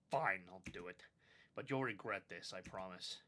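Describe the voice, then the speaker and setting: A man's voice: low-pitched, slow pace, bright, forceful tone, voice pushed down, exaggerated voice. A man reading the lines of a spiteful, defeated male villain in a game, with a sinusy voice. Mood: grudging.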